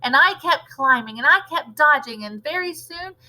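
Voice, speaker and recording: Woman, teacher reading aloud, slightly high pitch, bright forceful tone, expressive storybook narration, dramatic emphasis. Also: normal speed, rough recording.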